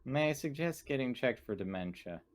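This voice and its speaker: A man voicing a precise, dryly sarcastic female colony crew member in a tabletop game, speaking in a formal, measured, deadpan way. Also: medium pitch, pitched above the performer's own voice, natural voice. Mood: coolly pointed. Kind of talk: in-character tabletop roleplay.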